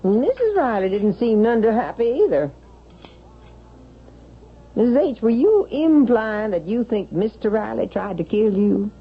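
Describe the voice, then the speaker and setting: An actress playing a plain-spoken, loyal, sceptical housemaid in vintage radio drama, with a folksy, matter-of-fact way of talking. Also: female voice, on the high side, sing-song, measured pace, breathy edge, heavily acted. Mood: folksy, forthright. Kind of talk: 1970s-80s radio drama acting. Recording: dull vintage radio, faint hiss.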